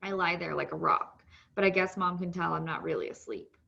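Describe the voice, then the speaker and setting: A woman's voice: lowish voice, brisk, vocal fry, highs cut off. A woman reading a children's novel aloud as scripted narration.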